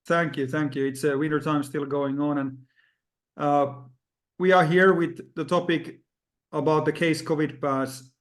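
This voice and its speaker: A man from Finland hosting an interview over a video call, speaking haltingly with many fillers. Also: fairly high pitch, fairly even intonation. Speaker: host.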